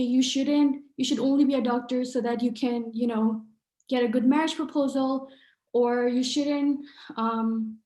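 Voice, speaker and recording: A woman's voice: fairly high pitch, darkish tone. A young woman from Pakistan, an author speaking at a book event, earnest with many fillers and restarts. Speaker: author and artist. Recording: echoey room.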